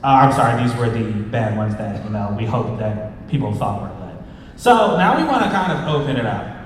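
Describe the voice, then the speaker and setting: A man hosts a casual convention panel in a very echoey hall. His voice sits at a middle pitch and sounds some way from the microphone, with an informal, chatty delivery. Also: expressive, a little fast, slight background noise. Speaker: panel host. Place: large convention panel room. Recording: echoey hall, distant from the mic.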